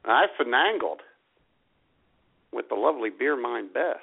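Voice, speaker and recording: A man co-hosting an internet radio show in casual, playful comedic banter, with a gravelly voice. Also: mid-range voice, animated, a little slow. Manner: playful, joking. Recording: dull lo-fi internet radio sound.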